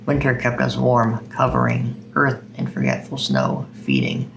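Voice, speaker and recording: A man's voice: mid-range voice, big pitch swings, measured pace, vocal fry, a bit bright, a little hiss or hum, low-quality recording. A man hosting a video of poetry readings. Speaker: video host reading poems.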